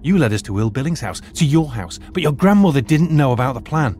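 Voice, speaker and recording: An actor playing a retired archaeologist turned high school teacher, measured and lecturing in an audio drama. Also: male voice, animated, darkish tone, soft background music, natural voice. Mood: accusing.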